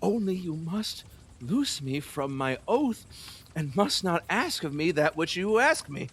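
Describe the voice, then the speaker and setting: An actor playing a lustful, fearful, superstitious middle-aged king in an audio play, husky, wheedling and erratic, with nervous laughs and halting repeats. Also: a little high, big pitch swings, a bit bright, slight background noise, full character voice. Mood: wheedling, pleading. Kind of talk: theatrical audio play acting.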